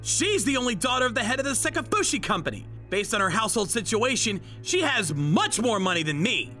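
A man's voice: high voice, very animated, a little fast, sharp tone, soft background music, exaggerated voice. A man playing an earnest, easily flustered young groom who narrates and speaks in a manga dub. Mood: angry.

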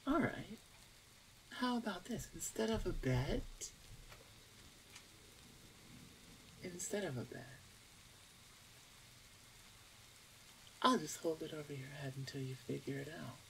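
A woman playing a teasing, smug older orc shaman sister in an ASMR roleplay, with a playful, drawn-out, self-assured delivery. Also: deep voice, vocal fry, a bit bright, slight background noise, rough recording. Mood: playful, gloating. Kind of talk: ASMR roleplay audio drama.

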